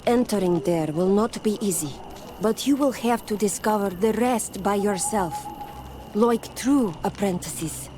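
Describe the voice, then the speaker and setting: An actress playing a calm, resolute native tribeswoman in a fantasy video game, solemn and assured in her speech. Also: mid-range voice, unhurried, lightly acted. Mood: instructive. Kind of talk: fantasy game dialogue acting. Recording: faint outdoor background noise.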